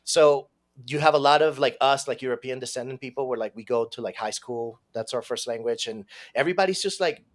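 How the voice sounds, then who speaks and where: A man in his thirties, raised in Venezuela, telling his life story as a podcast guest in a casual, profane, animated way. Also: medium pitch, fairly quick. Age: 30s.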